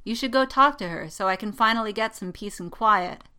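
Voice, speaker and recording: A woman's voice: medium pitch, a little fast, pushed and bright, clean tone, natural voice. A woman reading the part of a teasing, gleeful male pilot in a story, sardonic and needling. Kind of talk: dramatic fan fiction reading.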